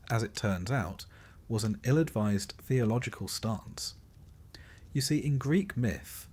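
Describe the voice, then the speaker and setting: Low-voiced man, wry sleep-story narrator. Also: slightly rough. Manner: measured storytelling with dry, wry understatement.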